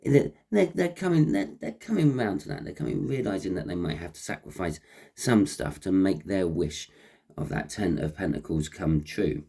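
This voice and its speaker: A man with a croaky voice and a head cold, giving an unscripted tarot reading in a halting, repetitive way. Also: low-pitched, expressive, mellow. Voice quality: croaky, husky. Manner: halting, repeating phrases as he explains. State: has a cold with a runny nose. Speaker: tarot reader and host.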